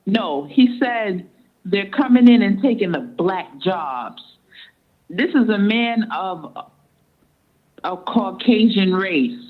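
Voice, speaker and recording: A woman calling in to a radio talk show, making deliberate, measured points. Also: mid-range voice, lively intonation. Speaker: guest caller. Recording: tinny phone line.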